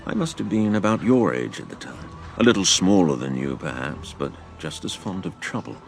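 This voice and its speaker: Audio drama: wry middle-aged father spinning a yarn, low creaky voice, animated intonation. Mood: reflective storytelling. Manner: measured, expressive storyteller. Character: fond, wry father, storytelling former innkeeper's son.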